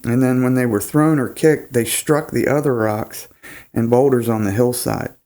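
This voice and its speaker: A man's voice: mid-range voice, steady tone, normal speed, darkish tone. A man, hosting and casually narrating an encounter story, his voice nasal and congested from a cold, laid-back with rambling asides. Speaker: host.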